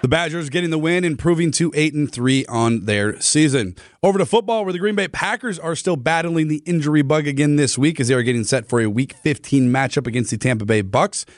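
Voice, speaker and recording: A man reading a scripted sports report on a morning radio show, brisk and even. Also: fairly high pitch. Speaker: sports news reader.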